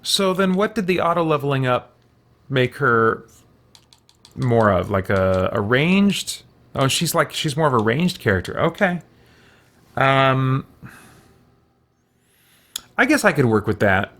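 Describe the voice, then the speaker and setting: A man's voice: medium pitch, very animated, unhurried, pauses often, a bit bright. A man with a mid-range voice hosts a gaming video in a casual way. He thinks aloud as he plays, muttering to himself and hesitating between choices. Mild oaths slip out as exclamations. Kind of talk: casual let's-play commentary, thinking aloud.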